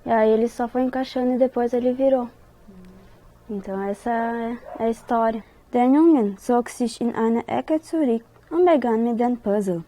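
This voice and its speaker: A woman's voice: a little high, dark tone. A woman with a southern Brazilian accent who reads a short story aloud and then retells it in her own words. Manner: slow careful reading, quicker loose retelling. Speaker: interviewee.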